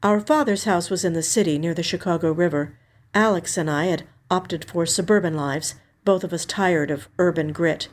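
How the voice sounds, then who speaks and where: A woman narrates a literary mystery story in the first person. Her voice sits a little low, and her reading is measured and expressive. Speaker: actor reading a short story.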